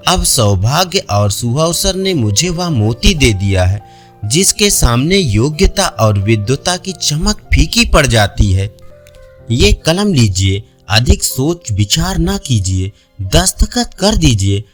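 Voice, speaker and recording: A man's voice: mid-range voice, big pitch swings, takes it slowly, soft background music. A man voicing a wealthy, generous older landlord in a storybook, speaking persuasively.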